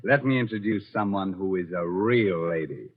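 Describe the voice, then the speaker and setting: A man's voice: low-pitched, moderate pace. An American man playing a creepy, sardonic horror host on 1940s radio, wry and theatrical in his delivery. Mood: ghoulish, wry. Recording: muffled 1940s radio broadcast with music.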